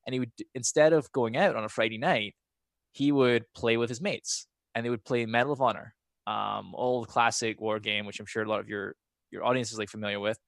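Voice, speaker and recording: A man's voice: on the low side, steady tone, fairly quick, vocal fry, dry recording. A man from Scotland with a half Scottish, half American accent, chatting casually as a podcast guest and telling stories in a rambling way. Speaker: podcast guest, former pro gamer. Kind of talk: casual chat, personal storytelling.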